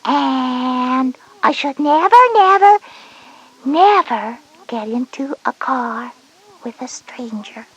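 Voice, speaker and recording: A woman voicing a small, earnest, curious kindergarten girl with a breathy voice and soft, careful delivery. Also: sits high, lively intonation, slow, no room sound, heavily acted, rough recording.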